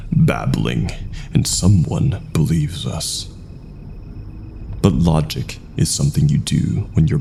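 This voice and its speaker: Very deep, gravelly man narrating horror. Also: dark tone, slight background noise. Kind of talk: scripted first-person horror narration.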